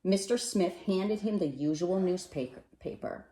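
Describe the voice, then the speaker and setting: A woman reading a children's picture book aloud in an expressive, animated way, with dramatic pauses and emphasis. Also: on the low side. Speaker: storybook reader. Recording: roomy, echoey sound.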